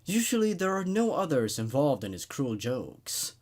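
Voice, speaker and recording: A man hosting a horror story reading, narrating in an expressive, conversational, laid-back way. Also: a little high, normal speed. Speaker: host reading horror stories aloud.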